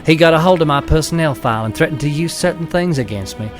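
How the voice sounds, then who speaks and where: A man's voice: on the high side, expressive, fast talker, music in the background, close to an everyday voice. An actor playing a weak, blackmailed businessman in an audio drama, anxious and pleading.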